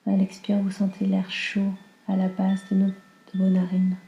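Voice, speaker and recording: A woman leads a guided meditation in a very mellow, hushed and breathy voice. Her delivery is calm and slow, with little melodic movement. The sound is roomy and echoing, and she soothes as she instructs. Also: mid-range voice, dull audio, faint music behind. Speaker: yoga nidra guide.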